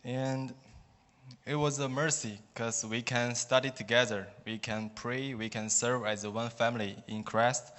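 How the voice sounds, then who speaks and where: Slow Chinese-accented young man in a hall. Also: mid-range voice, a bit bright. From China. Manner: halting, careful non-native English with pauses. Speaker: student giving a testimony. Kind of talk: personal testimony speech. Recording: long hall reverb.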